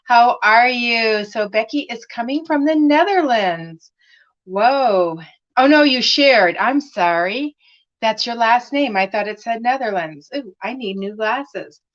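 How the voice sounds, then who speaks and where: A woman hosting a craft live stream, chatting with viewers by name and using many fillers, her voice slightly raspy as she recovers from laryngitis. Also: on the high side, slow pace. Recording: dull lo-fi live stream audio.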